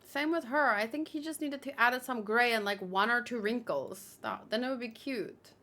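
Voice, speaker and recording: A woman doing casual livestream commentary, reading aloud and reacting in a chatty, expressive way. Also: on the high side, normal speed. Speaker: manga read-along streamer.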